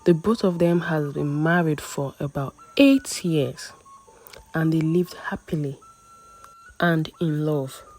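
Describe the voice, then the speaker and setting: A woman with a Nigerian accent narrating a scripted folktale as a storyteller, with measured, dramatic pauses between short phrases. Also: low voice, darkish tone.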